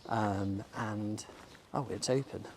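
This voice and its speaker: A man's voice: lowish voice, steady tone, takes it slowly, vocal fry, a little hiss or hum. Chatty man on a street walk. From England. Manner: chatty and enthusiastic, with frequent ums. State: slightly losing his voice. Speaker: bookshop owner and vlogger. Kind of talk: casual walking tour vlog. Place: outdoors on a sunny sloping shopping street. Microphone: handheld camera filming himself while walking. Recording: faint outdoor street ambience.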